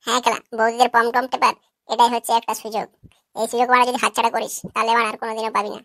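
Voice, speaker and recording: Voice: medium pitch, level tone, rapid-fire, a bit bright, no echo, studio quality, lightly acted. A performer voicing a crude, cocky young neighborhood prankster in a cartoon, always teasing. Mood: scheming.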